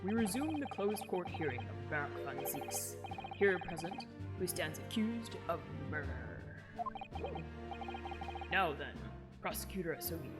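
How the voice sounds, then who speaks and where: A woman putting on a stern old judge, low and formal, announcing each line with measured authority. His court voice rides over steady game music. The tone is grave and deliberate. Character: stern older male British court judge. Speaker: streamer voicing game characters.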